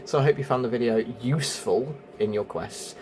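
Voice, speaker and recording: Male gaming video host, casual walkthrough style, explanatory, mid-pitched, noticeable noise behind him. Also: a little fast, a little mellow, low-quality recording. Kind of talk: casual game walkthrough commentary.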